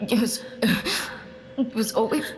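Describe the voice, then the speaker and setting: A woman playing a dying, apologetic mother in a horror audio drama, weak and tearful, stammering in a breathy voice. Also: on the high side, expressive. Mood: dying, remorseful. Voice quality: breathy, whispering. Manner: halting, stammering. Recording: faint horror music behind.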